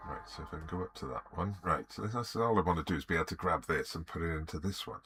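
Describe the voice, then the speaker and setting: An older man streaming live from his home studio, muttering to himself as he works, grumbling at his software and chatting with viewers. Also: sits low, fast talker, slightly rough. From Wales. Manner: mutters to himself while working, grumbles, then greets chat viewers. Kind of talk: live art stream chat. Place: indoor home studio at a desk. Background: background music.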